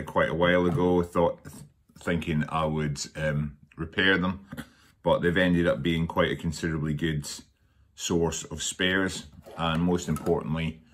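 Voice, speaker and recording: A man with an extremely low voice talks through a DIY job at home. His delivery is informal and matter-of-fact, with a halting, hesitant way of putting sentences together. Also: slight background noise. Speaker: DIY workshop presenter. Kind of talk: informal hands-on electronics tutorial. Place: indoors at a dining table at home.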